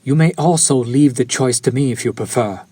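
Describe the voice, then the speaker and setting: A man's voice: mid-range voice, normal speed, dark tone, husky, dry recording, lightly acted. A man playing a courtly, centuries-old vampire in an intimate audio roleplay, speaking to the listener with formal, old-fashioned politeness. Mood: courteous, eager.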